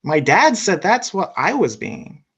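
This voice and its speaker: A woman's voice: on the low side, very animated, a little slow, husky, exaggerated voice. A woman playing an innocent, inquisitive little girl in a sitcom table read. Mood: innocent, puzzled. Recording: dull lo-fi call audio.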